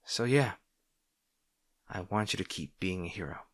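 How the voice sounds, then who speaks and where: A man's voice: low-pitched, moderate pace, no echo, studio quality. A man playing an arrogant but secretly caring supervillain rival, bantering with the listener in an audio roleplay. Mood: earnest, caring. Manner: teasing, bantering, grudgingly sincere.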